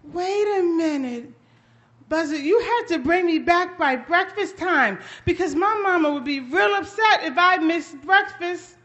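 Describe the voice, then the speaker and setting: A woman's voice: very high voice, animated, a little slow, a bit bright, muffled recording, heavily acted. A woman voicing a small, anxious young storybook rabbit with a whiny, pleading way of talking. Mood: cautious.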